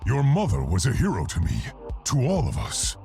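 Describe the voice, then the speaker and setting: An actor playing a solemn, respectful veteran hero in a video game. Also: male voice, medium pitch, wildly swooping pitch, normal speed, gravelly, mellow, soft background music.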